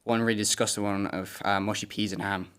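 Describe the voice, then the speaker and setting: A man's voice: on the low side, flat delivery, a little fast, a bit bright, clear, resonant tone. A teenage male student giving casual answers as an interview guest. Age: teens.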